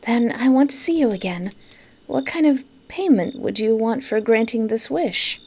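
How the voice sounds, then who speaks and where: A woman's voice: fairly high pitch, lively intonation, normal speed, a little mellow, slightly rough, pitched above the performer's own voice. A woman voicing a bashful, teasing female artist on a date in a visual novel, with a light, coy delivery. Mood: shy fondness. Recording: music behind.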